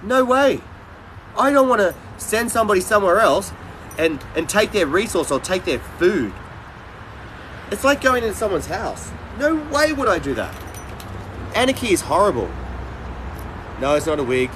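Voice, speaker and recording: A middle-aged man livestreaming, chatting casually with viewers in a blunt, rambling, halting way. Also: high-pitched, sing-song, fairly bright tone, slight background noise, poor audio. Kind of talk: casual live chat with viewers.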